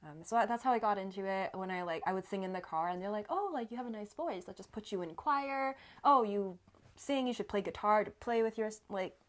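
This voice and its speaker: A woman's voice: mid-range voice, animated, a little fast. A woman from northern Taiwan speaking as an interview guest in a casual, conversational storytelling style. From rural northern Taiwan. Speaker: guest, multidisciplinary artist.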